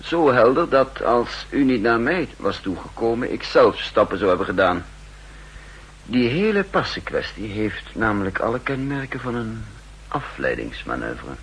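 An actor playing a shrewd, self-assured chief of counter-espionage in a 1960s radio drama, pedantic, dryly ironic and in command. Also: male voice, medium pitch, sing-song, moderate pace, a bit bright, slightly rough, exaggerated voice, low-quality recording. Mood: assured, explaining. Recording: old 1960s radio recording.

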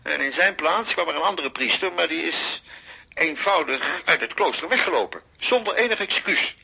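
High, sharp-voiced police commissioner. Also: male voice, lively intonation, moderate pace, vocal fry, husky, exaggerated voice, poor audio. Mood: formal, concerned. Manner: formal, deferential, precise. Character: dutiful, formal male police commissioner making a report. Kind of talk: radio drama acting.

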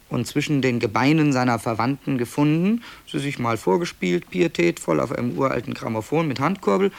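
Creaky-voiced male radio play narrator. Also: medium pitch. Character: male author presenting found tapes, wry and digressive.

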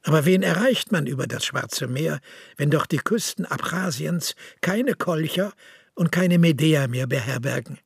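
Man, gravelly and husky, slightly high voice, literary radio play reading. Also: measured pace, dry recording, studio quality. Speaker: narrator.

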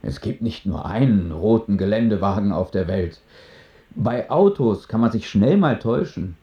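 A man's voice: on the low side, lively intonation, normal speed, breathy edge, dull audio. An actor in a radio drama playing an awkward father who speaks in a hesitant mumble. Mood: evasive. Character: awkward, halting father of a sick boy.

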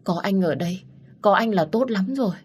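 A woman voicing a gentle young woman who speaks softly and soothingly to her lover. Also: medium pitch, animated, normal speed, slightly rough, pitched above the performer's own voice. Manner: soft, soothing.

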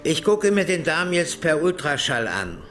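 An actor playing a self-assured senior hospital doctor in a radio crime drama, brisk and calmly defiant. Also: male voice, fairly high pitch. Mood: firm, unimpressed. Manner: brisk, authoritative, unimpressed. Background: music behind the voice.

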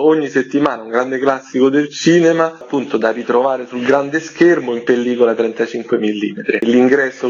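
A man's voice: medium pitch, breathy edge. A middle-aged man explaining a programme as a radio interview guest, chatty and full of filler words. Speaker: guest, film festival organizer. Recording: slightly dull, lo-fi audio.

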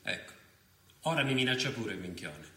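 A man's voice: mid-range voice, big pitch swings, normal speed, a bit bright, echoey room. An actor playing a middle-aged police commissioner and worried father in a radio drama, bitter and emotional. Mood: contemptuous. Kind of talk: theatrical radio drama monologue and dialogue.

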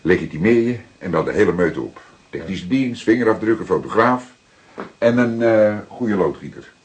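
A seasoned Dutch police detective, older and paternal, with a very husky, low voice. His delivery is methodical and emphatic, swinging widely in pitch as he reasons through a crime drama. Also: animated. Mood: brisk, commanding. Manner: deliberate, emphatic, repeating words for effect. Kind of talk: dramatic detective dialogue acting.

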